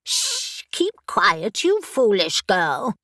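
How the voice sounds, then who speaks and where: A woman's voice: fairly high pitch, big pitch swings, takes it slowly, fairly bright tone, no echo, studio quality, pitched above the performer's own voice, exaggerated voice. A woman voicing an impatient talking raven that scolds and commands, in a storybook reading.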